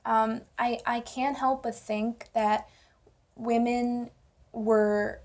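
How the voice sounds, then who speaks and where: A woman's voice: on the high side, flat delivery, very slow, darkish tone. A young woman giving her opinions casually in a vlog, with an informal, wry way of talking. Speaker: video commentator.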